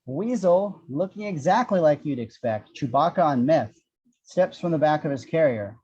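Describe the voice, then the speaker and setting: A man's voice: a little high, expressive, moderate pace, darkish tone, slightly rough. A man reading screenplay action descriptions with a wry manner, on a dull lo-fi microphone. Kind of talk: scripted screenplay narration.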